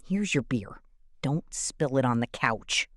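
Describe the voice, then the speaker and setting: A woman's voice: very deep voice, animated, takes it slowly, no echo, exaggerated voice. A woman playing a dry, wry older wife who brushes off her husband in a domestic comedy sketch. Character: dry, unimpressed older wife, teasing but fond.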